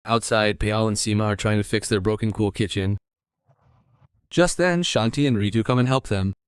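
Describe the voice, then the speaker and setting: A man's voice: on the low side, moderate pace, clean tone, no echo. A man reading scripted narration for a cartoon story, as the narrator.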